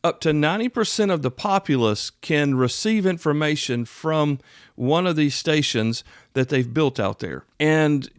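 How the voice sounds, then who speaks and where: A man's voice: a little high, expressive, measured pace, clear, resonant tone, no echo, clean studio sound. A man hosting a podcast solo, talking in a casual, folksy, rambling way full of asides. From South Carolina, United States. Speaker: podcast host. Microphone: close podcast microphone.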